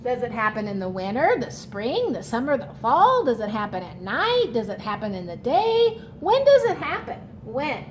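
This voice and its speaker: A woman teacher leading a children's read-aloud lesson, speaking in a deliberate, instructional way and repeating key words. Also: a little high, huge pitch swings, slight background noise.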